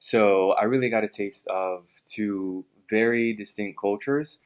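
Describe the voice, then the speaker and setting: Young man, low voice, measured with ums. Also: clean tone. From Miami. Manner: measured, many ums and uhs. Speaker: guest, political club officer. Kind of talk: interview answers.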